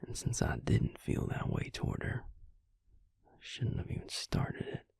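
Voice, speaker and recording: A man playing a tender, regretful male vampire in an intimate audio roleplay, confiding haltingly in a soft, breathy, gravelly whisper. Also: no room sound, lightly acted. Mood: rueful, candid.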